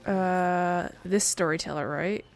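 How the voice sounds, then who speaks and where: A woman's voice: fairly low pitch, steady tone, slow, faint music behind. A woman giving casual, chatty let's-play commentary, musing aloud as the host of a gaming video.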